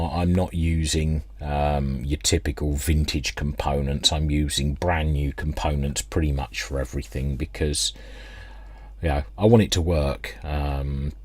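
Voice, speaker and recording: A man's voice: extremely low pitch, husky, no echo. A British man explaining technical work informally at a workbench, hesitant and unscripted as he thinks aloud. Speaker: radio restoration hobbyist presenting a workbench video. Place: indoor workbench, close dry sound.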